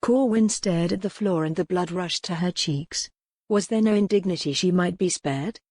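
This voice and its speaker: A woman's voice: lowish voice, normal speed, a little mellow, no echo, clean studio sound. A woman reading a historical novel as scripted, dramatic audiobook narration.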